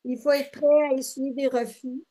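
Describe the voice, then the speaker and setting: High-pitched Québécois woman chatting. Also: steady tone, normal speed, mellow, poor audio. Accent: Québécois French. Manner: chatty, many fillers and restarts. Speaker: interview guest. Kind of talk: casual interview conversation.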